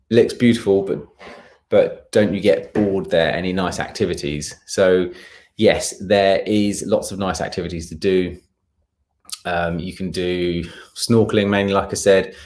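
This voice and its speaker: A man with a low voice and an English accent hosts a live travel stream. He chats casually as he reads out questions from viewers and answers them, sometimes humming to himself while he searches. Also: darkish tone. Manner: hums filler sounds while scanning for comments. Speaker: travel content creator and live stream host.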